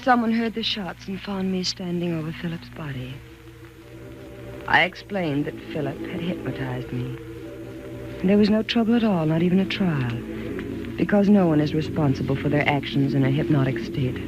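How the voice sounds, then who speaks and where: An actress playing a troubled, spellbound woman in a 1940s radio thriller, speaking in a slow, subdued way. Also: sits low, expressive, over music. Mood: haunted, uneasy. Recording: dull, noisy 1940s radio recording.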